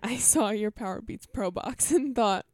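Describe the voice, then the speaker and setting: A woman's voice: fairly high pitch, moderate pace, darkish tone, no room sound, clean studio sound. A young woman co-hosting a casual chat, teasing her friend and laughing. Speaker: co-host.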